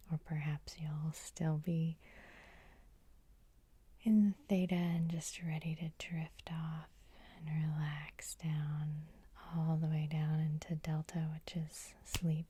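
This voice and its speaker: A woman hypnotherapist guiding sleep hypnosis in a soothing, unhurried, breathy ASMR whisper, close to the microphone. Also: deep voice, monotone, dark tone, dry recording.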